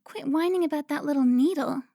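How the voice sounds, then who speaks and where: A woman's voice: sits high, warm tone, no room sound, studio quality. A woman playing a teasing, pouty, centuries-old vampire who looks twenty, in a cinematic audio roleplay. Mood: coy, needling. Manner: playful, pouty, drawn-out teasing.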